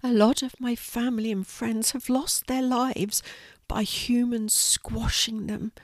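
A woman voicing a tiny, timid male spider in a children's storybook, gentle and sad, with a plaintive, tentative delivery. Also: fairly high pitch, sing-song, measured pace, no room sound, voice pushed up, exaggerated voice.

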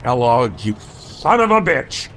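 An actor playing a gruff, loyal detective in a radio drama, speaking urgently and with emphasis. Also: male voice, medium pitch, very animated, takes it slowly, a bit bright, a little hiss or hum. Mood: angry urgency.

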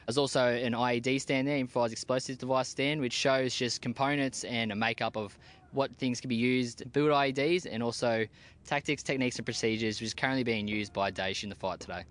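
A young Australian man, an army team leader, answering interview questions outdoors in a plain-spoken, relaxed way with casual slang. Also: mid-range voice, a little fast. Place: outdoors at a military base.

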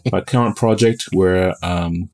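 A man's voice: sits low, slow pace, a little mellow. A man from New Zealand with a mixed New Zealand and American accent, chatting upbeat as a game designer guest on a podcast, laughing between phrases. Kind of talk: casual podcast interview.

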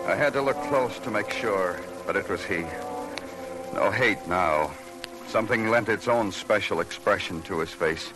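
An American actor playing a curt, world-weary police detective in an old radio noir drama, with terse, deadpan delivery. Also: male voice, on the low side, a little slow, over loud music, lightly acted, rough recording. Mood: brooding, grim. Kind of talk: 1940s-50s radio noir acting and narration. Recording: old radio broadcast recording.

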